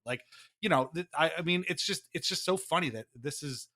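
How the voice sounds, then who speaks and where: A man's voice: fairly high pitch, brisk, pushed and bright. A man co-hosting a casual sports podcast, talking in a wry, amused, conversational way. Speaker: podcast co-host.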